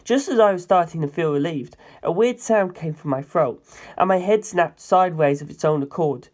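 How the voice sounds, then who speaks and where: A man's voice: on the high side, normal speed, darkish tone. A man narrating a dramatic story in the first person as an audiobook reader.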